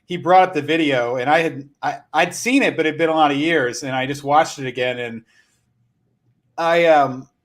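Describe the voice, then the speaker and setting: A man with a voice pitched a little above the usual male range. He talks as a podcast host in loose, conversational speech. His manner is self-deprecating and joking. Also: normal speed. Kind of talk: casual chat.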